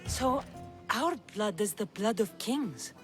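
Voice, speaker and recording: A woman's voice: medium pitch, lively intonation, slow pace, music in the background. An actress playing a tough, dry-witted female mercenary in a video game, asking direct questions. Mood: subdued, questioning. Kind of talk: dramatic video game cutscene dialogue.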